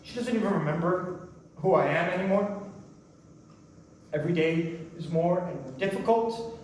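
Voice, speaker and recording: A man performs an anguished keeper of souls in limbo with a fairly high voice and earnest, halting phrasing. It is a staged drama reading, captured at a distance in a strongly reverberant space with faint noise. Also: a little mellow, natural voice. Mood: sad, resolute. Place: indoor stage before an audience.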